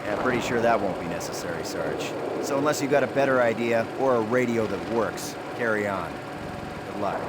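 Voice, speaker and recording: A man's voice: fairly low pitch, brisk, close to an everyday voice. Commanding American army captain acted by a man, noisy battlefield. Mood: calm, dry. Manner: commanding, briefing-like. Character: steady American WWII army captain rallying his men. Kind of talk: video game military acting. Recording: loud battlefield and vehicle noise.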